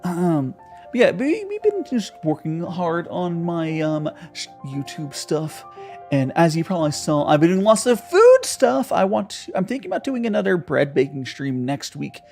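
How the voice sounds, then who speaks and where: A man's voice: a little high, big pitch swings, moderate pace, gravelly, a little mellow. A man chatting casually and giggling while live-streaming a video game. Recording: faint game music behind.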